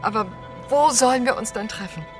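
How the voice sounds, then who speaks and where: A woman's voice: a little high, lively intonation, a little fast, over music, close to an everyday voice. A woman playing a wary but gullible lone woman in a radio play, questioning and wondering. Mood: wondering, doubtful. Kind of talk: German radio play acting.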